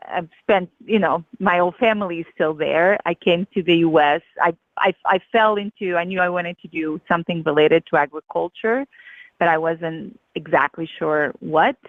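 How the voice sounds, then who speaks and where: A woman's voice: sits low, no room sound. A woman from Portugal, a winemaker giving earnest, lengthy interview answers. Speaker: guest winemaker. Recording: tinny phone line.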